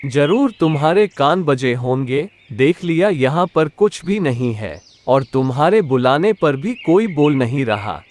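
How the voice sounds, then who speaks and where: A woman's voice: low-pitched, big pitch swings, a little slow, hardly any pauses, a little mellow, dry recording, pitched below the performer's own voice. A woman voicing an impatient, dismissive male crow, a husband in a cartoon story. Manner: brusque, dismissive. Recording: music underneath.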